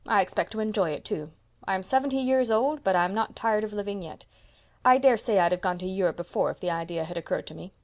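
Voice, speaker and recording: A woman doing a brisk, proud old spinster. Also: mid-range voice, close to an everyday voice. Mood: emphatic. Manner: emphatic, decisive.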